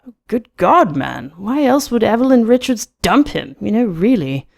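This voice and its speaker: Woman putting on a mocking, dismissive society man, creaky. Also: a little high, sing-song, moderate pace, darkish tone, pitched above the performer's own voice, heavily acted. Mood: scornful amusement. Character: dismissive, mocking club-going man, politely evasive.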